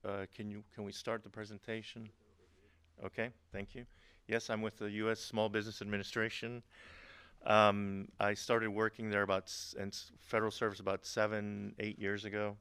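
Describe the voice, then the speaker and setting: A middle-aged man from Honduras giving a webinar presentation as a government agency speaker, talking in a halting way with many fillers and restarts and light humorous asides. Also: fairly low pitch, level tone, fairly bright tone, clear, resonant tone, no room sound.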